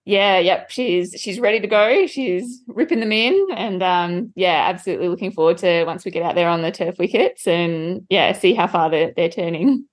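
A woman with a slightly low voice answers as an interview guest. Her style is casual and conversational. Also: normal speed, few pauses. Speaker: interview guest, club cricketer.

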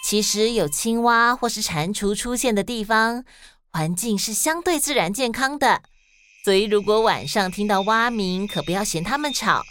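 A woman with a Taiwanese Mandarin accent narrating and explaining in a scripted, expressive style for children. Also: a little high, a little hiss or hum. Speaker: children's story podcast host and narrator.

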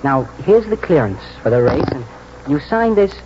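An actor playing a keen, plain-spoken young English stable lad in a 1940s radio drama, halting and colloquial. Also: male voice, a little high, big pitch swings. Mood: conspiratorial, earnest. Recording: muffled, noisy 1949 radio broadcast.

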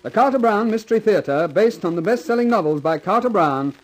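A man's voice: high-pitched, lively intonation, fairly quick. A man reading scripted credits as a 1950s radio drama announcer.

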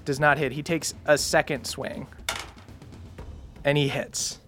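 A man narrating as game master, relaxed and chuckling through the rolls. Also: a little high, takes it slowly, faint music behind.

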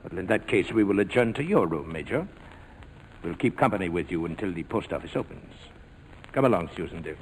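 An actor playing a smooth, menacing crime boss in 1940s radio drama style, mock-polite and coolly amused. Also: male voice, low voice, expressive. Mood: smug menace. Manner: suave, mock-polite, unhurried threats. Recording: noisy vintage 1940s radio recording.

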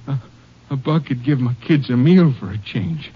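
A man's voice: medium pitch, lively intonation, moderate pace, slightly rough. An actor playing a desperate, impoverished father who stammers and pleads his innocence in an old-time radio drama. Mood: anxious, halting. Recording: muffled vintage radio recording.

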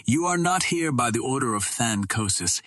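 A man playing a stern, husky palace guardsman. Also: mid-range voice, expressive, normal speed, a bit bright, no room sound, clean studio sound. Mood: stern, threatening. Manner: formal, challenging. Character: stern, defiant male palace guardsman of Mars.

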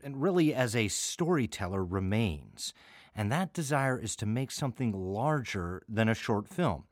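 Casting: American man, middle-aged, radio host, chatty and wry, animated pitch, very dry sound. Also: no echo. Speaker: artistic director of an audio theater, host. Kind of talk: conversational radio introduction.

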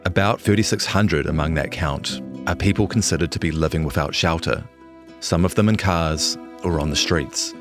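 A man with a New Zealand accent, speaking as a journalist. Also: low-pitched.

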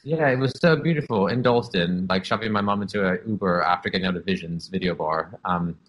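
Man in his thirties, interview guest. Very low, breathy voice. Candid, reflective storyteller. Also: fairly quick, fairly bright tone, muffled recording. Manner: open and reflective, with frequent "um" and "like".

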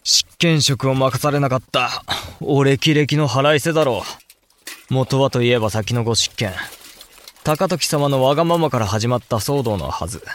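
A man's voice: medium pitch, natural voice. A man playing a blunt young samurai elder brother, speaking formal archaic lines in a period audio drama. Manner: formal archaic speech, firm and frank.

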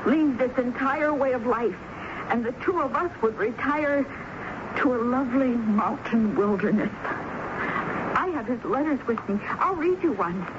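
An actress playing a lovelorn, defiant jilted woman confessing in a 1970s radio drama, with halting, dramatic delivery. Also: a little high, expressive. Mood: dreamy, wistful. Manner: dramatic, halting, repeats words for emphasis. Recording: dull, noisy 1970s radio broadcast recording.

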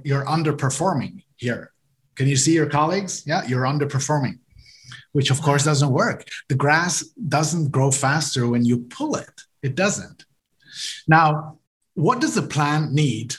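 Male podcast guest, native German speaker from Switzerland, explanatory, a few restarts. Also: a little high. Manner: explanatory, uses garden metaphors, repeats words. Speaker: podcast guest, leadership coach. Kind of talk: interview conversation.

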